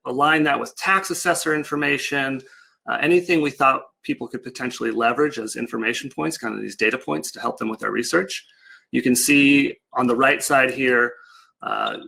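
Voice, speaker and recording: A man's voice: mid-range voice, creaky, sharp tone. A man giving an informal, loosely improvised online slide presentation in a casual, explanatory way. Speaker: project presenter.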